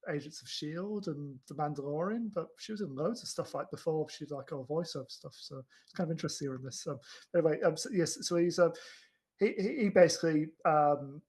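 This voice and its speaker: Male film podcast co-host, voice a little high, casual, halting and rambling. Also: darkish tone, husky. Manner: halting, rambling delivery with repeated starts.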